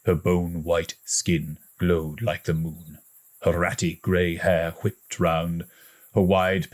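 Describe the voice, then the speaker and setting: A man reading a story aloud as an audiobook narrator, in a dramatic, expressive storytelling manner. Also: low-pitched. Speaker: audiobook reader.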